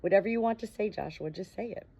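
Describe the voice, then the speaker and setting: A woman's voice: medium pitch, fast talker, gravelly, warm tone. A woman voicing a shy, wry and frank amnesiac ghost girl in an audiobook, with a hesitant manner. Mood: frank, unsure. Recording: dull, muffled lo-fi audio.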